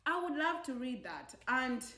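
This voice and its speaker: A young woman speaks to camera with a slightly high voice, earnest and emphatic, throwing out rhetorical questions to push her points. The room is echoey around her. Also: very animated, unhurried, pushed and bright. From Uganda. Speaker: vlogger. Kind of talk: casual talk to camera.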